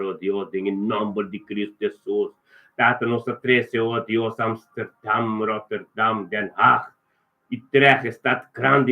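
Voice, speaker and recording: Phone-like recording, man praying. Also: lowish voice. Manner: repetitive, invocatory, punctuated by refrains. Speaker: prayer leader. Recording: thin narrow phone-like sound.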